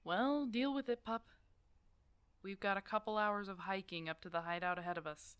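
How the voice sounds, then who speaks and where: A woman's voice: medium pitch, steady tone, normal speed, a bit bright, clear, resonant tone, no room sound, natural voice. A woman playing a stubborn, protective older werewolf hermit in an ASMR roleplay drama, blunt and teasing in a casual way. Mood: bossy, exasperated. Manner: teasing, blunt, casual one-sided dialogue.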